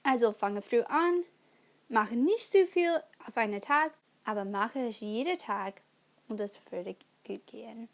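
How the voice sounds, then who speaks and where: A young woman whose first language is English, speaking German with an English accent as she hosts her own study-tips channel, halting often to correct herself. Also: on the high side, lively intonation, vocal fry. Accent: English-speaking learner of German. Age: young adult. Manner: slow and halting, with pauses and self-corrections. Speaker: student channel host. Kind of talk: casual study-tips vlog.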